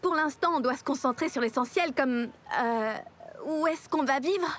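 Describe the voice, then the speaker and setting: A woman voicing a sweet, excitable young deer girl in a French children's cartoon dub. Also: high voice, normal speed. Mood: earnest. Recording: background music under the voice.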